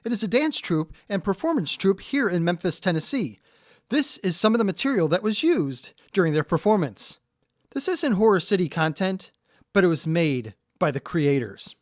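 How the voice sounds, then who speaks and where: Woman doing a podcast intro, kinda low voice, lively, close and dry. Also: normal speed, dry recording. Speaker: show announcer.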